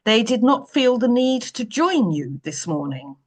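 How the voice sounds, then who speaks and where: A woman voicing a stern, exacting male boss in an audiobook, measured, clipped and pointedly formal. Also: a little high, very animated, voice pushed up. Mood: sardonic, exasperated.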